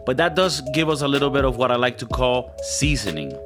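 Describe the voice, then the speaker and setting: A man's voice: medium pitch, brisk, music in the background. Spanish-accented man rambling in casual chat. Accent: Spanish-speaking. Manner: rambling, stammering restarts, swearing, mimics other people. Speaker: podcast co-host.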